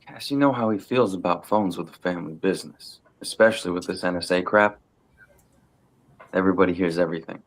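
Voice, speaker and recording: A man reading the part of a tough, watchful, gruffly protective uncle from a crime family at a film table read. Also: deep voice, fairly quick, low-quality recording. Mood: guarded. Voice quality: gravelly.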